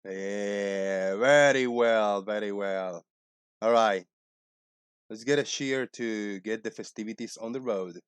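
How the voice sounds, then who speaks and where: A man in his forties hosting a casual livestream, talking in a loud, joking, rambling way. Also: fairly low pitch, slow pace, clean tone, dry recording. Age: 40s. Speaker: livestream host.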